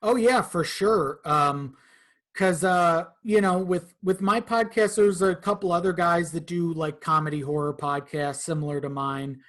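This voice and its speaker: A man's voice: a little high, steady tone, unhurried, a bit bright. A man speaking informally as a podcast guest, in a casual conversation peppered with swearing. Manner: informal, profane.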